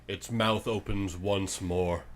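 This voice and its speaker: A man hosting and narrating a tabletop fantasy game, improvising dramatic, halting descriptions as game master. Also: deep voice, steady tone, a little hiss or hum.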